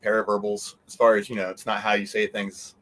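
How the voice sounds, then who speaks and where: A man's voice: lowish voice, little pitch movement, brisk, a bit bright. A man being interviewed, talking in a casual, rambling, conversational way. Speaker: interview guest, convention organizer.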